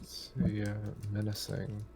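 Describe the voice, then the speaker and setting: A man playing a tabletop game, chatting casually in a halting way, apologetic and repeating himself. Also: lowish voice, flat delivery, creaky. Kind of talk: casual chat. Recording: faint noise, keyboard clicks.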